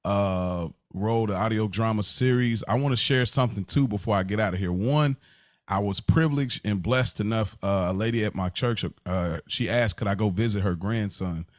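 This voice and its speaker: Low-voiced American man, casual podcast host. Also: normal speed, dry recording, studio quality. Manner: informal, slangy, earnest storytelling.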